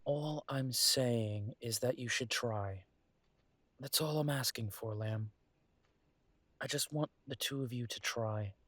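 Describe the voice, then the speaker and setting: A man playing a caring, weary werewolf detective boyfriend in an intimate roleplay audio drama, speaking in an earnest, gently coaxing, conversational way. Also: mid-range voice, slow, no room sound. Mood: earnest, exasperated.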